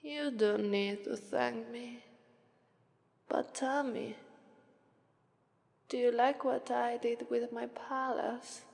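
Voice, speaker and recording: Woman acting a languid Italian-accented demoness, very slow. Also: on the high side, fairly even intonation, vocal fry, a little mellow. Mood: languid, aloof. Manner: unhurried, drawling, detached. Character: languid, aloof female demon of Sloth, regally lazy. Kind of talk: ASMR character roleplay. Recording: long reverberant hall echo.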